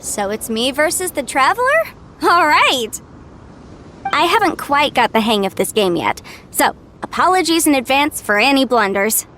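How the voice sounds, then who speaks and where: A woman's voice: high voice, sing-song, moderate pace, bright, forceful tone, a little hiss or hum, full character voice. A woman playing a sweet, modest young novice in an anime game, with a friendly, polite, cheerful manner.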